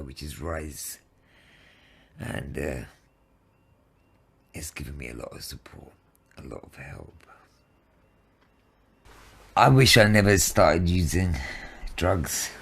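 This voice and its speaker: A man in his fifties quietly recounting his life for a documentary, low and unhurried, with noise around him. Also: creaky. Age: 50s. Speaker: interviewee telling own story of addiction and homelessness. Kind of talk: personal testimony.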